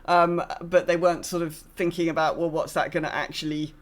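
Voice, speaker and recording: A woman's voice: sits low, flat delivery, brisk. A woman co-hosting a podcast, chatting casually and discursively as she thinks aloud. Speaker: podcast co-host. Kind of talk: casual gaming podcast chat.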